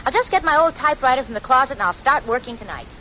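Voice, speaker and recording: A woman's voice: high-pitched, animated, racing, bright, forceful tone, a little hiss or hum. An actress playing a sensible, wisecracking young working woman in a 1940s radio sitcom, dry and articulate. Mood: wry, resigned. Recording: muffled old radio recording with audience.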